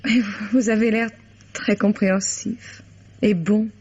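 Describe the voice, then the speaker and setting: Flustered, breathy theatre actress. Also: female voice, mid-range voice, fairly even intonation, a little mellow. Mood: flustered, pleading. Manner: halting, theatrical, protesting. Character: flustered, self-pitying theatre actress pleading her innocence. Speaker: radio drama actress. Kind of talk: vintage French radio mystery acting. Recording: dull vintage radio recording.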